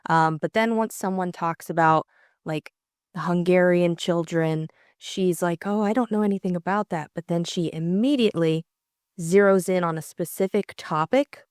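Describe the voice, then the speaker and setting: A woman's voice: on the low side, moderate pace, darkish tone, clean tone, no room sound, clean studio sound. A young adult woman co-hosting a casual discussion of a classic novel. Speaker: audiobook co-host.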